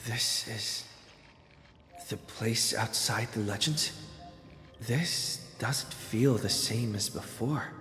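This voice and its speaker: A earnest young game hero, bright and slow, in a medium register. He sounds hesitant and questioning, drenched in echo. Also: medium pitch. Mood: confused, wary. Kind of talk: video game voice acting. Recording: echoey long reverb, faint music.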